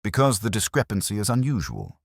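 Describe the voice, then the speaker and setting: A man's voice: on the low side, huge pitch swings, a little fast, creaky, breathy edge, dry recording, studio quality, voice pushed up, full character voice. A man voicing an earnest, careful Victorian detective's companion in an audiobook, formal and methodical. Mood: tentative. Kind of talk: audiobook character dialogue.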